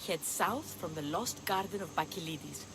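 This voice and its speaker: A woman's voice: fairly low pitch, normal speed, background noise, close to an everyday voice. A woman playing a scheming, boastful seafaring treasure hunter in a video game, theatrical and sly. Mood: sly, eager. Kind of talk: video game cutscene acting.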